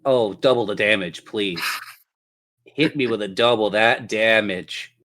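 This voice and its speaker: A man's voice: fairly low pitch, a little slow, a bit bright. Playful male game master with theatrical phrasing. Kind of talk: narrating a tabletop role-playing game.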